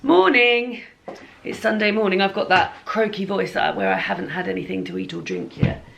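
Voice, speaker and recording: A woman's voice: medium pitch, very animated, normal speed, gravelly, a bit bright. A woman chatting casually in a home vlog diary about her plans and reading, rambling and correcting herself as she goes. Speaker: book vlogger.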